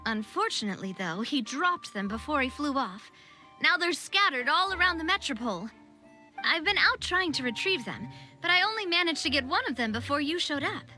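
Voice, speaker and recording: A woman's voice: high-pitched, animated, brisk, sharp tone, soft background music, full character voice. A woman playing a confident, upbeat, breezy young female leader in a video game. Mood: explaining, concerned. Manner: self-assured, breezy.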